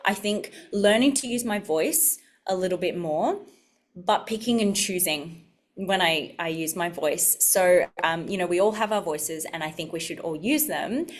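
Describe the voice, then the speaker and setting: A woman speaking as a panelist in a discussion, reflective and thoughtful, pausing with fillers. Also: fairly low pitch.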